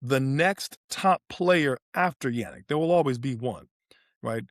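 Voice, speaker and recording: A man's voice: mid-range voice, measured pace, no echo, studio quality. A man co-hosting a sports podcast, breaking down play in casual talk and repeating phrases for emphasis. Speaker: podcast co-host analysing tennis.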